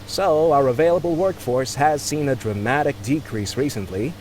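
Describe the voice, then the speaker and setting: A man's voice: medium pitch, normal speed, a loud crowd, close to an everyday voice, low-quality recording. An actor playing a polite, harried male office clerk in a video game, courteous and apologetic.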